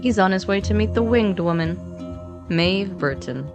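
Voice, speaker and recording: A woman's voice: medium pitch, lively intonation, normal speed, over music. A woman co-narrating a fiction podcast in a scripted but conversational storytelling style, playful and informal with dry asides.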